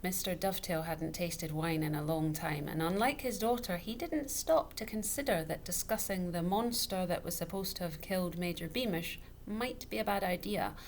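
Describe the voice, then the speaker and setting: A woman reading a children's book aloud as scripted narration, with expressive, dramatic storytelling. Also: fairly low pitch, normal speed, hardly any pauses. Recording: noticeable background noise.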